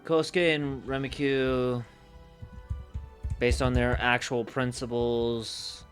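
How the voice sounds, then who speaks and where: A man streaming casually, thinking aloud in a musing, hesitant way with pauses mid-thought. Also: medium pitch, little pitch movement, soft background music.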